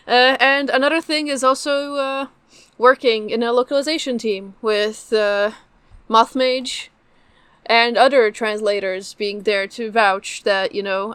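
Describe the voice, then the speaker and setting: A woman's voice: a little high, fairly bright tone, smooth, no echo. A young adult woman, a native Polish speaker, answering interview questions casually as a guest. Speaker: guest, game translator and developer.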